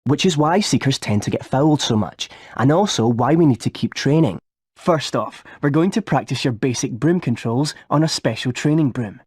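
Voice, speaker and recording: Keen teen team captain, husky voice, dry close sound, instructive, video game. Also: male voice, mid-range voice, expressive, moderate pace, darkish tone, no echo. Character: earnest teenage boy sports captain, keen coach. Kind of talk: scripted video game tutorial.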